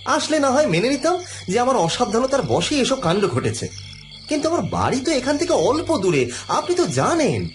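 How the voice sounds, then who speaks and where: A man's voice: very high voice, wildly swooping pitch, moderate pace, faint music behind, full character voice. An actor playing an irritable, indignant male office worker in an audio drama, complaining and argumentative, sometimes stammering. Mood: arguing.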